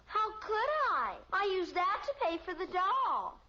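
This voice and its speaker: Guileless small American girl, very high bright voice, plaintive, innocent, muffled old radio sitcom. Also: sing-song, measured pace, full character voice. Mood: innocent, matter-of-fact. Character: earnest, guileless little daughter with excuses. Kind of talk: mid-century American radio sitcom acting. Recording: dull, noisy old radio recording.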